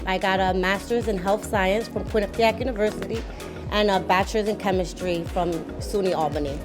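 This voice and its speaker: A woman's voice: mid-range voice, steady tone, fairly quick, music in the background. A young woman from the Bronx, briefly introducing herself as a panelist. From Bronx, New York.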